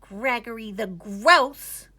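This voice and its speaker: Lady doing little groundhog girl in a kids book, feisty and squabbly, high voice. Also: slow pace, bright, forceful tone, slightly rough, exaggerated voice. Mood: sassy. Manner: insistent, squabbling. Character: feisty, competitive young girl groundhog twin. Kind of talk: children's storybook read-aloud.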